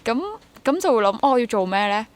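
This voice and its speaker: A woman's voice: on the high side, fairly quick. A woman in her twenties with a Hong Kong Cantonese accent, talking casually as an interview guest, reflective and rephrasing as she goes, mixing in English words. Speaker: interview guest, freelance actor and drama teacher.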